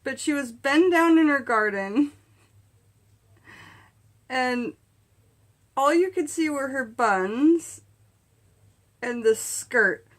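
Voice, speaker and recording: A middle-aged woman with a fairly high voice talks candidly to her camera. She tells her story in a casual, comedic style, animated and conversational, like a vlog host chatting with her viewers. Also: slow, pauses often. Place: small indoor space in front of a curtain.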